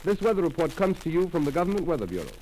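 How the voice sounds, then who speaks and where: A man reading a weather report and station links in a 1930s radio drama, flatly and routinely. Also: on the high side, racing, slight background noise, poor audio. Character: plain male weather-report announcer. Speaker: radio announcer.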